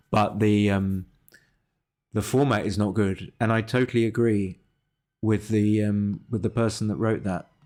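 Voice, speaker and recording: A man's voice: on the low side, a little mellow. A man giving casual live game commentary as a streamer, hesitant and thinking aloud as he goes. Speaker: livestreamer analysing his backgammon games.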